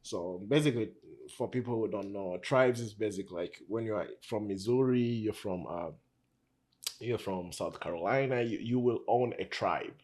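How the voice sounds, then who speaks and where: A man from Tanzania in casual conversation, relaxed, often repeating words as he thinks. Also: on the low side, moderate pace.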